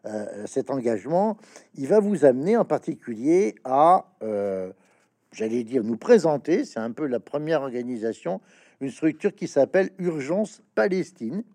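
A man hosting an interview, asking questions in a halting way with many stammered restarts and fillers. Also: on the high side, very animated, gravelly, mellow. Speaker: interviewer, host. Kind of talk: interview questions, reading passages aloud.

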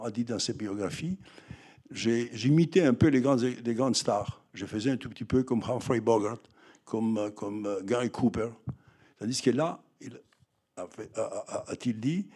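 An older film director recalling his early films in an interview, halting and full of restarts. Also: medium pitch, a little mellow, husky. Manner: halting, with many fillers and restarts. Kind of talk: reminiscing interview answers.

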